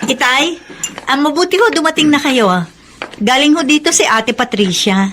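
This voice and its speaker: A woman's voice: high-pitched, animated, normal speed, a bit bright, slight background noise. A woman playing a harried but dutiful middle-aged Filipina daughter, protesting and explaining in a radio drama style. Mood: concerned, questioning.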